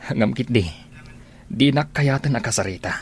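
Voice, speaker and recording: An actor playing a gentle, lovelorn man with a sincere, pleading delivery in a radio drama. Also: medium pitch, normal speed, husky. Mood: hurt, pleading. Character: earnest, lovelorn man Ferdinand, gentle and persistent.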